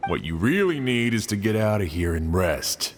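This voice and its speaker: A man voicing a steady, commanding male team leader in a game, giving firm directions. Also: fairly low pitch, normal speed, big-room sound, faint music behind. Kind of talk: voiced game dialogue.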